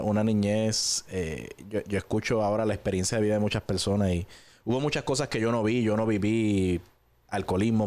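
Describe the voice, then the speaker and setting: A man from Puerto Rico with a Puerto Rican accent, telling his story in a relaxed, conversational way with frequent hesitant pauses. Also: fairly low pitch. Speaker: guest giving a personal testimony.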